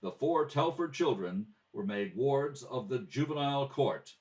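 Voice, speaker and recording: A man's voice: medium pitch, expressive, unhurried, darkish tone, recorded from a distance, rough recording. A man reading scripted announcements and narration in the style of an old-time radio program.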